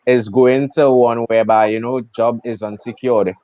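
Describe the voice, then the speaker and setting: A man's voice: medium pitch, level tone, moderate pace, dark tone, dry recording, muffled recording. A young man interviewing a guest in a casual chat, friendly and enthusiastic.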